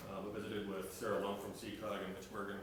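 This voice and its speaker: A man giving a city administrator's report to a council, steady and full of "uh" fillers. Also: lowish voice, fast talker. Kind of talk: meeting report. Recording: echoey council chamber from far off, noisy.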